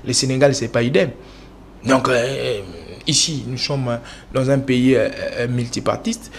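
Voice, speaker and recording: A man from the Congo answers interview questions as a political analyst. He lectures at length, often halting on fillers and restarting his phrases. Faint background noise runs under his voice. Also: medium pitch, steady tone, gravelly. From Congo (DRC).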